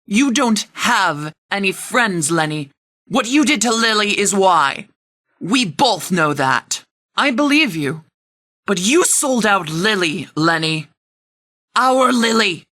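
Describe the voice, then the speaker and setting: An actress playing a grave, loyal woman of a post-apocalyptic gang in a video game, speaking in a measured, solemn way. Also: medium pitch, expressive, sharp tone, no room sound, clean studio sound, heavily acted. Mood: cold, accusing.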